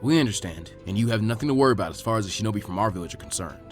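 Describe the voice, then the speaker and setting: A man voicing a calm, laid-back adult ninja mentor in an anime fan fiction reading, subdued and mildly chiding. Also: fairly low pitch, rapid-fire, faint music behind, pitched below the performer's own voice, natural voice. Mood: reassuring. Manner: subdued, even, half-hearted chiding.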